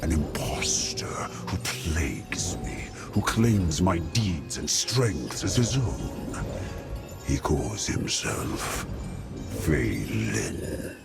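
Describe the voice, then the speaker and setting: A man playing a haughty, brooding, menacing inhuman ruler in a video game, speaking with slow theatrical disdain and breathy, gravelly edges. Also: a bass voice, very animated, heavily acted. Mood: brooding, commanding. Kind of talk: video game cutscene acting. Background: loud music.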